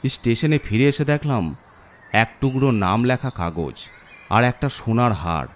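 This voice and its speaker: A man's voice: medium pitch, animated, measured pace. A man narrating a first-person horror story in a theatrical, suspenseful way. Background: music.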